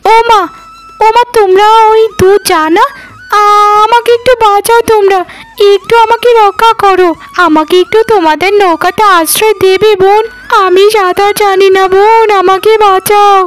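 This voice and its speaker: A woman's voice: very high voice, fairly even intonation, moderate pace, faint music behind. A woman playing a humbled rich village wife in a melodramatic, beseeching cartoon style. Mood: panicked pleading. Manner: wailing, beseeching, repetitive. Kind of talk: melodramatic Bengali cartoon dub acting.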